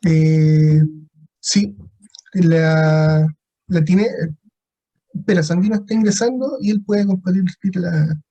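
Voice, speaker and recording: A man's voice: high-pitched, slow pace, long pauses, mellow, highs cut off. A man hosting a video call, helping to set up screen sharing in a casual, helpful way. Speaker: call host. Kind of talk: casual chat.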